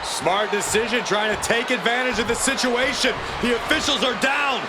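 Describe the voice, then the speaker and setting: A man's voice: very high-pitched, normal speed. A man as a wrestling color commentator in a video game, phrasing things colorfully and dramatically. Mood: dramatic, excited. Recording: noisy arena, loud crowd. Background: roaring arena crowd.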